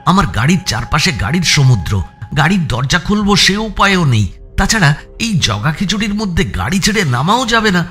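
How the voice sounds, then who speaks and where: A man's voice: sits high, big pitch swings, normal speed, bright, forceful tone, slightly rough. A man reading a scripted thriller as narrator, with a suspenseful, theatrical delivery. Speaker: story narrator. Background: background music.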